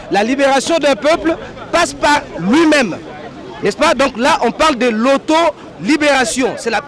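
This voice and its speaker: A man with a Congolese French accent speaks in an extremely high register at an outdoor rally. He hesitates and repeats phrases while making political points, with some crowd noise around him. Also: fairly bright tone. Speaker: rally participant and spokesperson. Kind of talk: street interview, political commentary. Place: outdoors in a city square.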